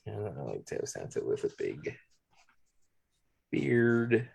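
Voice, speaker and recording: A man leading a drawing demonstration, talking in a relaxed way as he draws. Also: on the low side, takes it slowly, creaky, breathy edge. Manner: relaxed, talks while drawing. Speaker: art instructor. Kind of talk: drawing demonstration, thinking aloud.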